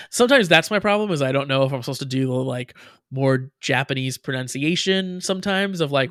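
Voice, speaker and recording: A man's voice: medium pitch, a bit bright, no echo, clean studio sound. A man with an American accent co-hosting a casual show review, rambling with lots of fillers and frequent laughs. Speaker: podcast co-host. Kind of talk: casual chat.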